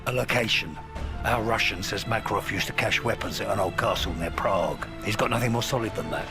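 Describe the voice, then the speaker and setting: A grim, battle-worn British veteran played by a male game actor. His voice sits deep and gravelly, and he speaks in terse, brooding lines out for revenge. Dramatic music plays behind him. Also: a little fast, close to an everyday voice. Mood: grieving, grim. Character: grim, vengeful veteran British soldier, older man. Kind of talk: dramatic video game acting.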